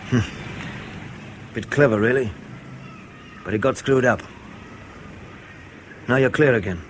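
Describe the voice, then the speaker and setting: An actor playing a sardonic, menacing intelligence man who needles with colloquial, deadpan understatement in a radio drama. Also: medium pitch, measured pace, a little hiss or hum, lightly acted. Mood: matter-of-fact. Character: sardonic, menacing male British intelligence interrogator.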